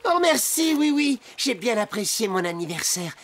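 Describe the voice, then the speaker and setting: Cartoon friend: courteous, earnest little toy, expressive pitch swings, music underneath. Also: male voice, normal speed. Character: polite, gentle toy friend, earnest birthday celebrant. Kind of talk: children's cartoon acting, French dub.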